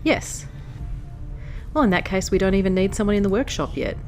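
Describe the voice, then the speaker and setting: A woman's voice: medium pitch, a little fast, darkish tone, faint music behind. A woman with a stuffy, nasal voice from hay fever, chatting casually as she plays through a strategy game. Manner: chatty, easily distracted. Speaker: let's play host. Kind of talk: casual gameplay commentary.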